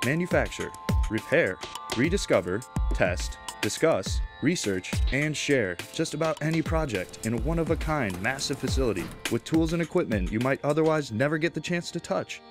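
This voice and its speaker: A man's voice: mid-range voice, lively intonation, moderate pace. A man delivering scripted promotional narration as the voice-over for an organisation. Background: prominent instrumental music.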